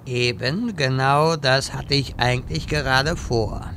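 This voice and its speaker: A man playing a wise, exasperated old wizard in a fantasy parody radio play, speaking deliberately and dryly. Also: mid-range voice, fairly bright tone, a little hiss or hum. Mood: commanding. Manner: slow, deliberate, dry.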